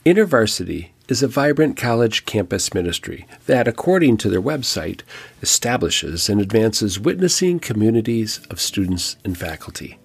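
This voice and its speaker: Husky low-voiced man narrating. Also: sing-song, moderate pace. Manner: reflective, lightly joking storyteller. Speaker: podcast host and church pastor. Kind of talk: scripted podcast narration.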